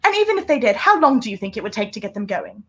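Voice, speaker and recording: A hunted female secret agent acted by a woman speaks in a fairly high voice. She is intense and secretive, weighing her words with deliberate drama in the style of a 1930s radio thriller. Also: very animated, a bit bright, breathy edge, exaggerated voice. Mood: grave, warning. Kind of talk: 1930s radio play acting.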